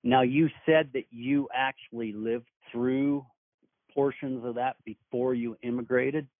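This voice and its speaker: A man hosting a podcast speaks slowly and deliberately as he sets up his questions. His tone is measured and earnest. The sound is dry and thin, like a phone call. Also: mid-range voice, fairly even intonation, no room sound. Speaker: podcast host. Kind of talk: interview questions.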